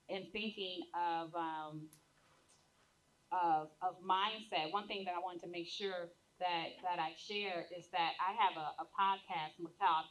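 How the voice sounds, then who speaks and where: A woman on a live panel speaking in a measured, encouraging coaching manner. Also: fairly low pitch, dull audio. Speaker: panelist and podcast host.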